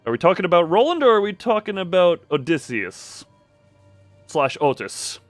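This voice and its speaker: A man's voice: high voice, sing-song, normal speed, no echo. A man doing casual live commentary as a game streamer, exclamatory and rambling. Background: faint music.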